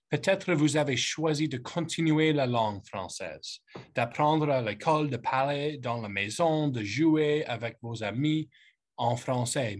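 A man in his thirties whose first language is English, with an English-speaking Canadian accent, giving a formal welcome speech as a politician hosting a youth panel. He talks haltingly, often pausing to search for words in his second language. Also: medium pitch. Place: office with lamp and bookshelf, indoors. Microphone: webcam on a video call.

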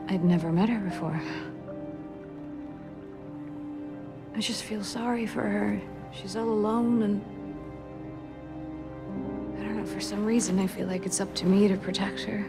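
A woman's voice: medium pitch, fairly even intonation, moderate pace, lightly acted. Woman acting emotional, needy young woman over music. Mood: tender, unsure. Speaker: video game character. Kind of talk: dramatic cutscene acting.

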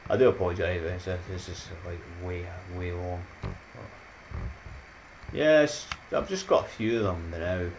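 A deep-voiced Scottish man in his thirties talks into a desk mic in a small room at home. He rambles in a loose, upbeat way, laughs at his own jokes and slips in motivational sayings. A faint hiss sits under the voice. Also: a little slow, darkish tone, poor audio. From Scotland. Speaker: YouTuber. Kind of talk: casual chatty vlog outro.

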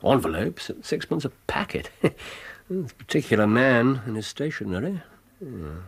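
An actor playing a brilliant, aloof English detective in a radio drama, precise, musing and dryly amused. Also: male voice, medium pitch, sing-song, moderate pace, vocal fry, husky, heavily acted. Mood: musing, brisk.

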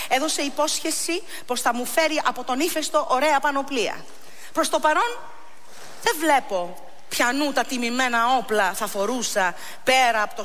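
A woman's voice: sits high, fairly bright tone, long reverb tail, pitched above the performer's own voice. A woman acts out a proud warrior hero from ancient epic, grieving and intense. The lines are declaimed for the stage, with faint noise behind them.

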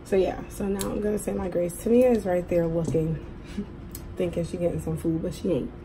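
A middle-aged woman with a hoarse voice, chatting casually to viewers as a mukbang host at a table. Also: fairly low pitch, fairly even intonation, fairly quick. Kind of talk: casual eating vlog chat. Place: indoors at a table at home. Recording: clearly noisy room recording.

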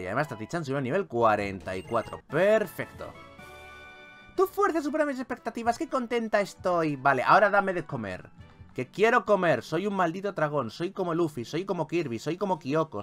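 A man with a Spain accent giving playful, exclamatory gameplay commentary as a video host. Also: mid-range voice. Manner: animated, exclamatory, jokey. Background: video game music.

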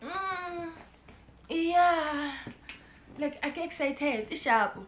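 A young South African woman with a Pretoria accent talks playfully to her camera at home, now and then slipping into snatches of song. Her voice sits high and sounds bright and forceful. The room gives it a roomy echo. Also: very slow, a little hiss or hum. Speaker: vlogger. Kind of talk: casual vlog chat mixing English and Sepitori. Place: indoors at home, in front of a brick wall.

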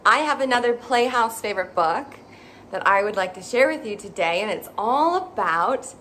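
A young woman with a high, very animated voice reads a picture book to small children. She takes her time and exaggerates playfully, asking questions as she goes. It is recorded in a room with some background noise. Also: moderate pace. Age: young adult. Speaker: children's story time host. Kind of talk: animated picture-book read-aloud for toddlers. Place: small indoor playroom with bookshelves.

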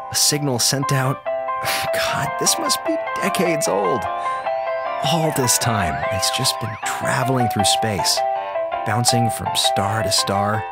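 An actor playing a wisecracking slacker communications officer on a space station in a radio drama, casual, sarcastic and theatrical. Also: male voice, mid-range voice. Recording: music playing behind voice.

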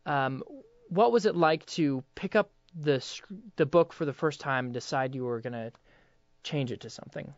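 A man's voice: mid-range voice, moderate pace, no room sound, dull audio. A young man hosting a casual interview in a hesitant, loosely phrased way. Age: young adult. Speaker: interviewer and voice actor.

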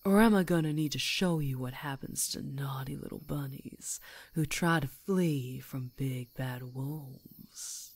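A woman playing a female werewolf in an intimate ASMR roleplay, predatory yet tender, coaxing in a breathy voice that often drops to whispers. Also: very deep voice, a little slow, a little mellow, exaggerated voice. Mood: teasing, menacing.

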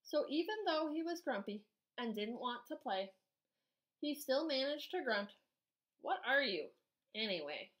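A woman in her thirties, an art teacher, reading a children's storybook aloud indoors with expressive, measured delivery. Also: high voice.

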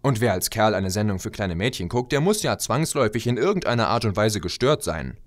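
A man delivering a scripted opinion monologue as a video blogger, conversational and expressive with rhetorical asides. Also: fairly low pitch, fairly quick, no room sound. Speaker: video blogger and fan audio drama maker.

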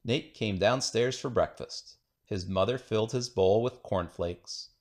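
A man reading a children's picture book aloud in an expressive storytelling manner. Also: lowish voice, a little slow. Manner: expressive storytelling with acted dialogue. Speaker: storybook reader.

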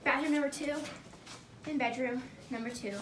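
A woman's voice: sits high, fairly even intonation, normal speed, background noise. A young woman narrating her own ski trip vlog in a playful, joking tour-guide way, outdoors on the slopes. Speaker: vlogger. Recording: with clear background music.

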